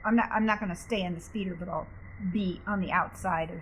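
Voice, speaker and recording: A woman's voice: lowish voice, steady tone, quick pace, low-quality recording. A woman chatting casually as a player in a tabletop game. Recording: clear background noise.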